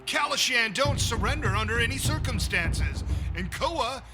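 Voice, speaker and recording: A man performs an excitable male fight announcer with an extremely high voice. He gives knowing analysis in a radio-drama style, over clear background music. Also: normal speed. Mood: knowing, excited. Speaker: arena commentator. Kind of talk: sports commentary in amateur radio drama. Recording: music behind the voice.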